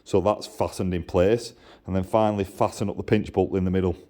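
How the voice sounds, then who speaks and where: Very deep-voiced man. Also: fairly even intonation, brisk, warm tone. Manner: informal, explaining step by step with repetition. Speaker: motorcycle exhaust maker and mechanic. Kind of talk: casual hands-on workshop demonstration. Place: motorcycle workshop. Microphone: handheld camera held by the speaker.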